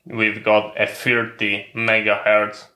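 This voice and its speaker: A man presents a hands-on tutorial in an unhurried, very even voice with a crisp, bright edge. His English has non-native phrasing, and he explains each step methodically. The room adds a noticeable echo. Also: on the low side. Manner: methodical, instructional. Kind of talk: step-by-step hands-on equipment demonstration. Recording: roomy, echoing sound.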